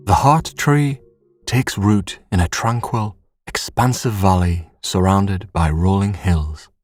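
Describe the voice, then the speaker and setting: A man narrating a scripted sleep story in a soothing, measured, hushed bedtime manner. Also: deep voice, no echo, faint music behind.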